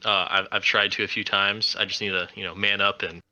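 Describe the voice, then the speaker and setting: A man co-hosting a casual film podcast, working out his thoughts in halting, tentative phrases. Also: fairly low pitch, level tone, bright, forceful tone, dry recording, dull audio. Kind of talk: casual group chat.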